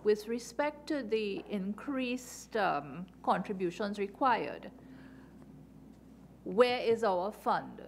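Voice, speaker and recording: A woman chairing a parliamentary committee hearing, formal, brisk and courteous. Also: mid-range voice, very animated, creaky, a little hiss or hum.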